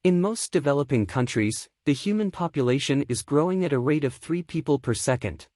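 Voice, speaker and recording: A man's voice: fairly high pitch, expressive, fairly quick, a little mellow, no room sound, clean studio sound. A man reading a scripted practice passage aloud. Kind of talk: scripted read-aloud of exam practice text.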